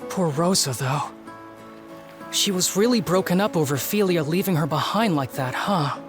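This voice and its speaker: A woman's voice: lowish voice, animated, moderate pace, music in the background, close to an everyday voice. A woman voicing an easygoing but reluctant young boy sidekick in a video game, with a flustered, grumbling manner. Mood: sympathetic. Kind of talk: animated video game voice acting.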